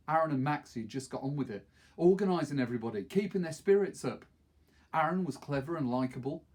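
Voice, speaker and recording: Husky man reading a story aloud. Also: medium pitch, darkish tone. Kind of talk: expressive storybook narration. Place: small wood-panelled study, indoors.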